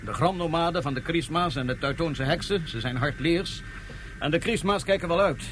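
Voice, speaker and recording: An actor playing a proud male nomad chieftain who explains things in a measured way, with hesitant pauses. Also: on the high side, fairly bright tone, a little hiss or hum, natural voice, poor audio. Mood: assured. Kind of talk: radio play acting.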